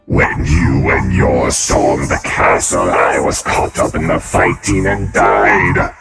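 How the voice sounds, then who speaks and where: Super deep gravelly void monster thing, voice-changed, slow and begging. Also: male voice, full character voice. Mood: weary. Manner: slow, gravelly, desperate pleading. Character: weary reborn male void creature, pleading. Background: loud game music.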